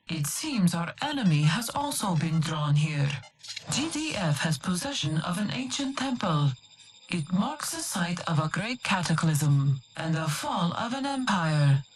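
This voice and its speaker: An actress playing a female adviser who speaks in a solemn, measured way. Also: lowish voice, big pitch swings, low-quality recording.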